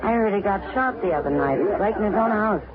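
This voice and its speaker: A woman's voice: mid-range voice, lively intonation, brisk, a crowd in the background, close to an everyday voice. An actress playing a worldly saloon woman who speaks frankly and wistfully, in a 1950s radio drama. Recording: tinny old radio broadcast in a noisy saloon.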